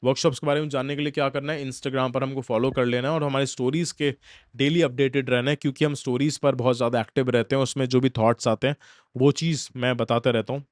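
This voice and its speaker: A man's voice: medium pitch, little pitch movement, quick pace, dry recording, studio quality. A young man giving a motivational self-help lecture, talking straight at the listener and repeating points for emphasis. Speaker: self-help course instructor.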